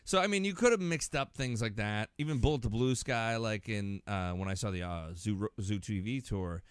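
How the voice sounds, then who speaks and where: A man talking casually and informally, as in a relaxed podcast conversation between friends. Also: lowish voice, normal speed, clear, resonant tone, no room sound. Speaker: podcast speaker. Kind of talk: casual conversation about concerts.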